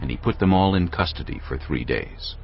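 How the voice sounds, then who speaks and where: A man's voice: low-pitched, animated, brisk. A man giving scripted narration for a dramatized scripture recording. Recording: dull audio with clear music.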